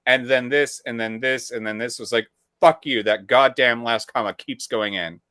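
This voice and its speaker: A man's voice: mid-range voice, fairly even intonation, moderate pace, a bit bright, no room sound. Podcast co-host, man, mid-range voice, blunt and profane, dry close mic. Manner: blunt, profane, rambling storyteller. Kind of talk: casual conversation.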